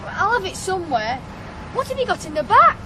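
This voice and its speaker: High-voiced farm lad. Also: sing-song, brisk, noisy background. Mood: guarded. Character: teenage farm lad, earnest, stubborn, homesick. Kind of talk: radio drama acting.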